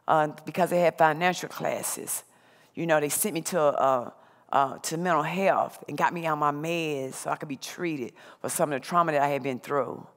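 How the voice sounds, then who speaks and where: A middle-aged woman giving a plainspoken, conversational personal testimony on a theater stage through a headset microphone. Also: low-pitched. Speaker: speaker sharing personal testimony. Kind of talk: personal testimony to a live audience. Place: large theater stage, indoors. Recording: hall reverb from stage sound.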